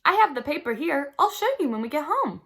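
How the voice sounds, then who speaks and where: A woman's voice: high-pitched, sing-song, a little fast, fairly bright tone, voice pushed up, full character voice. A woman voicing a kindly, reassuring grandmother in a children's storybook.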